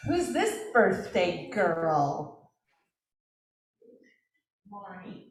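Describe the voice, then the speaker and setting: A woman hosting a club meeting, chatting warmly with members and making announcements. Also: fairly low pitch, big pitch swings, extremely slow, roomy sound, distant mic. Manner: upbeat, encouraging interviewer. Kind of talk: casual meeting chat.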